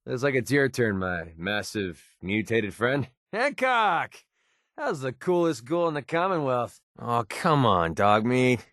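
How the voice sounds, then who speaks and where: A man playing a young, wisecracking, cynical mercenary with a soft side in a video game, speaking in a wry, colloquial way. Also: on the low side, lively intonation, unhurried, few pauses, no room sound, studio quality. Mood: teasing, brash. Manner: wry, sarcastic, colloquial delivery. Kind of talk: scripted video game companion dialogue.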